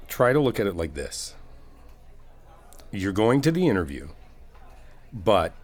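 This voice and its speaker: Low-voiced, reassuring guy best friend, acted by a man. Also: exaggerated voice. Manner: conversational, reassuring, hesitant rephrasing. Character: supportive, easygoing male best friend giving a pep talk. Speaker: audio roleplay performer. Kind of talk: casual ASMR comfort roleplay, one-sided conversation.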